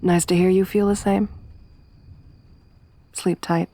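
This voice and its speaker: A young woman voicing a tough, tomboyish survivor companion in an ASMR roleplay, speaking softly with a wry, weary warmth. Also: fairly low pitch, level tone, slow. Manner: soft-spoken, wry, quietly reflective.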